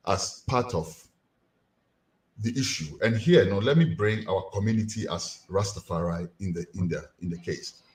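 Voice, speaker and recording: Ghanaian-accented male lecturer, lively swooping delivery, rhetorical and animated. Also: deep voice, moderate pace. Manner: animated, rhetorical questions to the audience. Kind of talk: community lecture.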